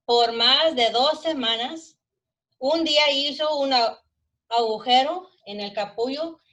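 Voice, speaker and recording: A bilingual woman from Texas with a Texan accent, reading aloud in Spanish and answering briefly and gently. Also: high voice, measured pace. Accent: Texan Spanish-English bilingual. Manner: bright, gentle, brief replies. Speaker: guest reader, deputy. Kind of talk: bilingual read-aloud and chat.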